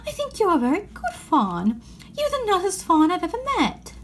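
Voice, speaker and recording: An earnest, kind little girl from a storybook, played by a woman reader. Her voice sits high and she speaks in anxious, pleading exclamations. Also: huge pitch swings, moderate pace, fairly bright tone, a little hiss or hum, heavily acted. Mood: concerned, puzzled.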